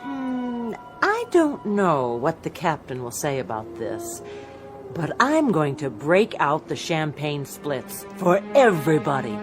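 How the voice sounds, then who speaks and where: An actress playing a capable senior flight attendant in a radio drama, worldly and reassuring. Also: female voice, deep voice, very animated, slow pace, music in the background, heavily acted.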